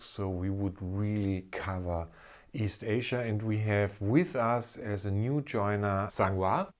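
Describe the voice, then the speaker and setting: A man hosting a podcast conversationally, a little halting and sometimes repeating his words. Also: lowish voice, darkish tone. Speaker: podcast host, corporate lawyer.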